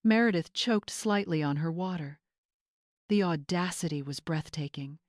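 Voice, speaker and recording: A woman's voice: lowish voice, moderate pace, a little mellow, dry recording, studio quality. A woman narrator reading a scripted dramatic story in audiobook style.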